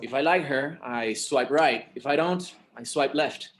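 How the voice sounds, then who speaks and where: A man playing a patient, slightly exasperated adult son in a screenplay table read, casual and halting, with bits of Spanish. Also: medium pitch, lightly acted. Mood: explaining.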